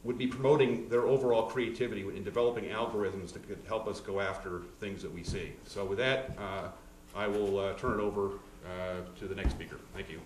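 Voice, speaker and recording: A man with a lowish voice speaks on a conference panel. He sounds set back from the microphone in a roomy, echoing space. Faint noise sits behind him. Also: steady tone, a little fast, few pauses, recorded from a distance. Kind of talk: conference talk.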